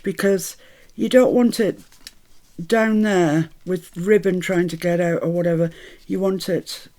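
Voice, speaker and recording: A woman's voice: medium pitch, a little mellow. A woman born in Scotland with a mixed British accent from years in the Midlands, chatting cheerfully through a crafting tutorial as she works. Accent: mixed British, Scottish-born, Midlands. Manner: chatty, cheerful, laughs often, talks while working. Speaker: craft channel host.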